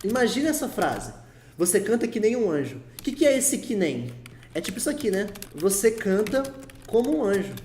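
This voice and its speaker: A man's voice: high-pitched, very animated, brisk, a little mellow, a little hiss or hum. A man with a Brazilian Portuguese accent giving a casual video lesson as a teacher, chatty and coaching, repeating his points for emphasis. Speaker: English teacher. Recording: echoey room.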